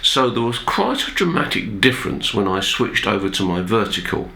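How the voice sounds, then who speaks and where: A man's voice: lowish voice, very animated, moderate pace, gravelly, sharp tone. A man with a nasal, congested voice from a cold, presenting amateur radio talk in an informal, hesitant, conversational way. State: has a cold, throat-clearing. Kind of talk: informal explanatory talk to viewers.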